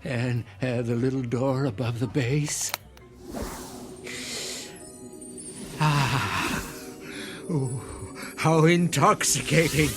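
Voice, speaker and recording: An actor as a slow-talking, genteel, sinister older man. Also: mid-range voice, music in the background, close to an everyday voice. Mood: sly, quiet, then savoring, relishing. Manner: measured, savoring, ornate phrasing. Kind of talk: radio drama acting.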